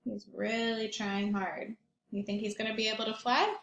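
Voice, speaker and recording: A woman reading a storybook aloud to young children like a teacher, expressively, pausing for simple questions and comments. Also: fairly high pitch, flat delivery, creaky, a bit bright, muffled recording, a little hiss or hum. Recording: roomy echo.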